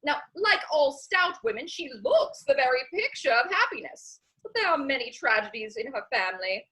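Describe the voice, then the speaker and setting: A woman's voice: sits high, very animated, normal speed, sharp tone, exaggerated voice, rough recording. A woman playing a chatty, scatterbrained Victorian society matron in a gushing, rambling, theatrical comedy reading. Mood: gossipy, airy. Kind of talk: stage comedy dramatic reading.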